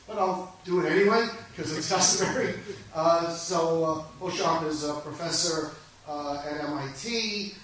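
An older man welcomes a conference crowd in a measured, upbeat way, often pausing on fillers. His voice sits slightly high for a man and rings through a very echoey hall from a handheld mic held some way off, with faint room noise behind. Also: a bit bright. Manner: fluent but filler-heavy, measured, enthusiastic. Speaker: conference organizer introducing speakers. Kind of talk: welcome speech and speaker introduction. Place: large conference hall, indoors. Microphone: handheld microphone. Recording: echoey hall, picked up from a distance.

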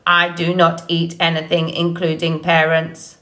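A woman's voice: on the low side, monotone, fairly bright tone. A woman playing a friendly female robot in an audiobook story, speaking with formal, earnest politeness. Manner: friendly, measured, formal without contractions.

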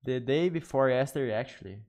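Young Brazilian man streaming in halting English. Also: medium pitch, darkish tone. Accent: Brazilian Portuguese. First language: Portuguese. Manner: hesitant, self-correcting non-native English. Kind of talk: casual live-stream chat with viewers. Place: bedroom streaming setup indoors. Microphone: studio mic on desk with headphones.

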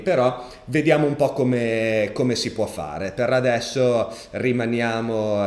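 Guy in his 30s rambling thru a live stream, lots of ums and restarts, echoey room. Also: medium pitch. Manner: chatty, thinking aloud with fillers and restarts. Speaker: crypto streamer. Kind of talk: casual live-stream commentary. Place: home room, seated in gaming chair. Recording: roomy echo.

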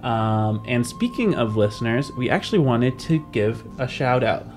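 A man's voice: mid-range voice, lively intonation, normal speed, a little mellow, faint music behind. A man hosting a podcast, chatting casually to listeners and his co-host. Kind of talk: casual chat.

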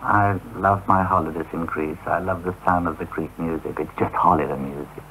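Deep-voiced man chatting on old radio. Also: fairly even intonation, moderate pace. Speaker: guest. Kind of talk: radio interview. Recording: off-air cassette radio recording with faint hiss.

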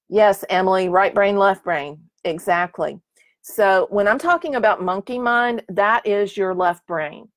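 A woman in her fifties teaches painting live from a small home room, chatting warmly with viewers as she answers their questions one by one. Her voice sits a little low and sounds slightly worn, as if she is losing it. It is picked up close and dry by an earbud mic, with no room echo. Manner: chatty, encouraging, answers comments by name. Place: small room with curtained window, indoors.

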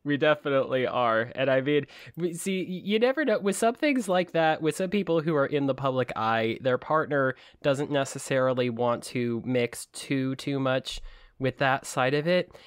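A man's voice: medium pitch, lively intonation, moderate pace, clean tone, no echo, studio quality. A man co-hosting a book-club podcast in casual, joking chat. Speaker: podcast co-host.

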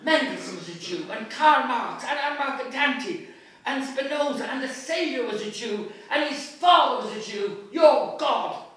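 A speaker voicing an indignant middle-aged man, shouting back insistently. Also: high-pitched, lively intonation, measured pace, voice pushed up. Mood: defiant. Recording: echoey hall from far off.

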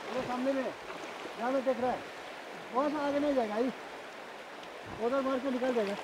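A man's voice: very high-pitched, steady tone, normal speed, a little hiss or hum, poor audio. A man on a fishing trip making brief, offhand remarks outdoors by a river. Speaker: fishing companion.

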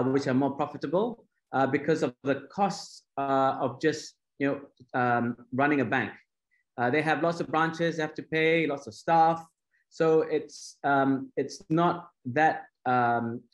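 A middle-aged man, explaining as a panelist on a video call, halting, with many fillers and repeated words. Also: mid-range voice. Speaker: fintech founder and panelist. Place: home office on a video call.

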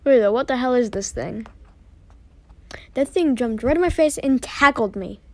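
A woman reading character lines for an amateur animated skit. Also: fairly high pitch, normal speed.

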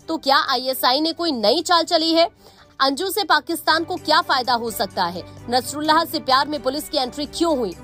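A woman's voice: high voice, bright, forceful tone. A woman with an Indian accent reading a scripted news report as narrator. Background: background music.

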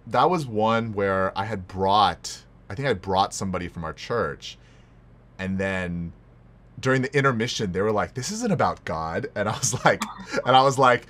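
A man's voice: lowish voice, moderate pace. A man interviewing a friend in a casual, informal conversation, often checking in with the listener as he talks. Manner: informal, animated, tags phrases with "right?". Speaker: podcast host, interviewer. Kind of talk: casual chat among friends.

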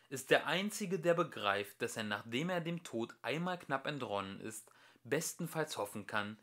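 A man reading a storybook aloud as an amateur narrator, with expressive, emphatic delivery. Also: mid-range voice. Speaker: amateur audiobook reader.

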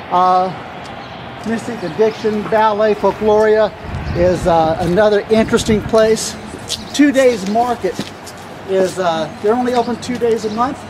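A middle-aged man speaks in a notably high register as he guides an informal walking tour. His phrasing is halting, often feeling its way through lists. He is recorded outdoors on a street, with clear traffic noise around his voice. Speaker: arts center director giving a walking tour.

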